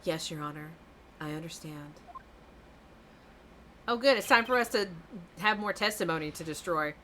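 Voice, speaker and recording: A woman's voice: lowish voice, expressive, moderate pace, bright, forceful tone, slight background noise. A woman streamer chatting casually and bluntly while playing a courtroom game, cursing now and then and reading lines aloud. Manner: blunt, swears casually, talks back to the game. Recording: game music playing behind. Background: video game music.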